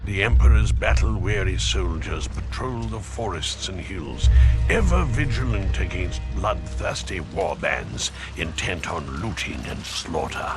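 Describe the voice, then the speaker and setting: An actor voicing a grave, portentous narrator of a dark war chronicle in a fantasy game, in dramatic scripted style. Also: male voice, low voice, animated, a little slow, music in the background. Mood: grave, ominous.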